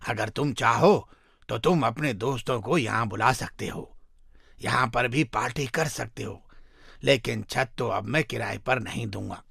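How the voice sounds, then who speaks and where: Man voicing a firm, gravelly old grandfather. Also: mid-range voice, unhurried, a bit bright, dry recording, clean studio sound, pitched below the performer's own voice, exaggerated voice. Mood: firm, agreeable.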